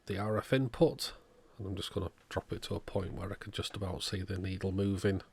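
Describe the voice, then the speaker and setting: A man with a low voice and a strong creak talks through a hands-on repair. He goes methodically, one step after another, in the manner of an electronics technician showing his work. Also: fairly quick, a little mellow, slight background noise. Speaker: electronics repairer, channel host. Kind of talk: hands-on repair demonstration narration.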